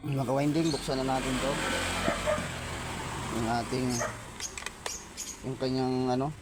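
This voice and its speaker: A man giving a casual hands-on repair tutorial, halting and repetitive, often addressing his viewers. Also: medium pitch, level tone, loud music behind the voice. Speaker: electronics repair vlogger. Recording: loud vehicle and outdoor ambient noise.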